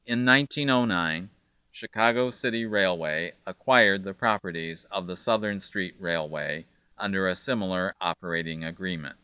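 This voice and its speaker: A man reading scripted documentary narration in a measured, factual way. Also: low-pitched, pushed and bright, clean tone, no echo.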